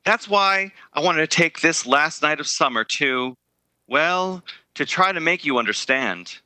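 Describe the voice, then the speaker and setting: Man acting earnest young suitor, bright tense voice. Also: a little high, animated, clean tone, no room sound, exaggerated voice. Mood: uneasy, apologetic. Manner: halting, hesitant delivery. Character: earnest, guilt-ridden young man ending a summer romance. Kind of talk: 1930s radio drama acting.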